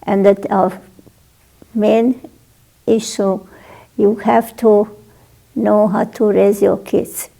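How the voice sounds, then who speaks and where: A woman's voice: mid-range voice, level tone, slow, vocal fry, long pauses, mellow. A woman from Hungary with a Hungarian accent, speaking plainly as a guest in an interview, with some broken grammar. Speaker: guest, mother of the pianist. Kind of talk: casual interview.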